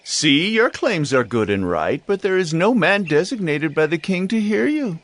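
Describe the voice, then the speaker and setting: An actor playing an ambitious, scheming young prince of ancient Israel in declamatory, theatrical audio Bible drama. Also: male voice, a little high, sing-song, moderate pace, fairly bright tone, no room sound. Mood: persuasive, smooth.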